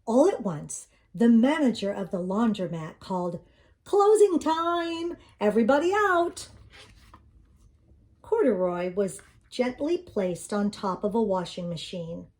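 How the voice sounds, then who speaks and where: A woman's voice: mid-range voice, animated, a little slow, hardly any pauses, warm tone. A woman narrating a children's storybook as she reads it aloud. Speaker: storybook reader.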